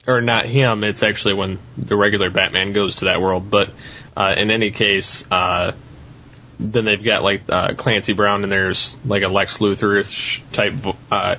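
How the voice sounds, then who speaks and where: A man speaking haltingly as a panelist in a casual podcast discussion. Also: lowish voice, pushed and bright, highs cut off, a little hiss or hum.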